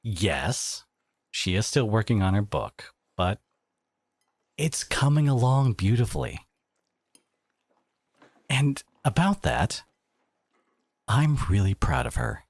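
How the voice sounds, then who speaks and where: A man acting a devoted, protective boyfriend in an audio roleplay, speaking in an earnest, halting, conversational way with an edge of sarcasm. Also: on the low side, big pitch swings, no room sound, full character voice. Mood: proud, tender. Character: devoted, protective boyfriend confronting his partner's family, adult man.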